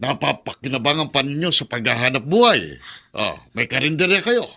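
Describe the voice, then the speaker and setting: A man's voice: medium pitch, very animated, brisk, gravelly, bright, forceful tone, full character voice. A domineering middle-aged Filipino villain, with a very hard, cutting voice. His delivery swings up and down as he sneers and bullies in radio melodrama style. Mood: pushy demanding. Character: greedy, bullying brother-in-law, domineering older male villain. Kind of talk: melodramatic radio drama acting.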